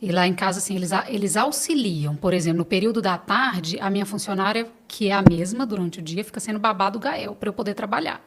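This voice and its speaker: A woman's voice: mid-range voice, quick pace, hardly any pauses, very noisy. A Brazilian woman, a podcast guest, talking casually and personally, repeating phrases for emphasis. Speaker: podcast guest, business owner.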